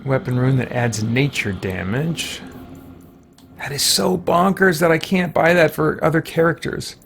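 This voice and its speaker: A man's voice: fairly high pitch, a little slow, fairly bright tone. A man doing casual, sardonic let's play commentary on a livestream, with some theatrical roleplay. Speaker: game livestream host. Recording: clear background game noise. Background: video game ambience.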